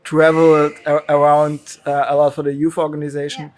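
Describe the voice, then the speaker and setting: A man interviewing a guest, asking questions in a halting way with restarts. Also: a little high, completely flat delivery, a little mellow, soft background music. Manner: halting, stumbles over questions.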